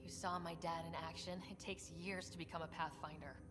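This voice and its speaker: A woman's voice: on the low side, flat delivery, a little fast, in a large hall, noisy background, lightly acted. A woman playing a young, shaken but determined space explorer in a naturalistic video game cutscene. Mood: uneasy, doubtful. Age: young adult.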